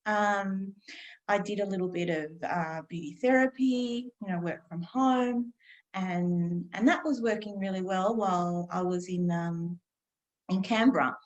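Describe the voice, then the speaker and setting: Casting: woman, 50s, interview guest, reflective and slow, lots of pauses. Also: medium pitch, rough recording. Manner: calm, unhurried, many pauses and fillers.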